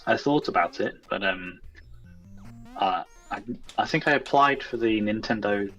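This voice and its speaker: A man's voice: on the low side, unhurried, fairly bright tone, soft background music, rough recording. A man chatting casually as an interview guest, in a modest, tentative way. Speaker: guest, indie game developer. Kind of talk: casual chat.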